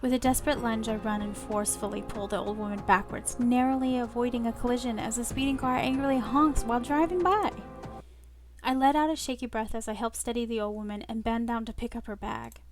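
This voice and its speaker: Woman, high voice, expressive story narration, reading a visual novel, music clearly behind. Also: fairly quick. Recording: music under the voice. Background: clear background music.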